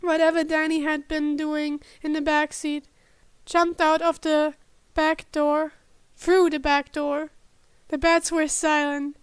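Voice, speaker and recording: A woman's voice: sits high, flat delivery, slow pace, no echo. A woman reading fan fiction aloud as an amateur narrator, now and then stumbling and correcting herself. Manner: stumbling over words, self-correcting. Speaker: podfic narrator.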